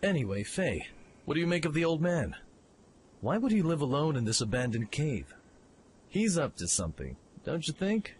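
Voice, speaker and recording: A man playing a brash, eager young mech pilot in an audio drama, informal and excitable in his delivery. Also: on the high side, moderate pace, a little hiss or hum. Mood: suspicious, musing. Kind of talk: fan audio drama acting.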